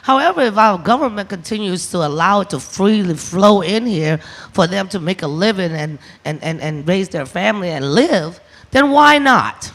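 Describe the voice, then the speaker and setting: A man from Vietnam speaking on a panel as a shrimper, halting and repeating words as he builds his point. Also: sits high, animated, fairly bright tone. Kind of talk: impassioned panel talk.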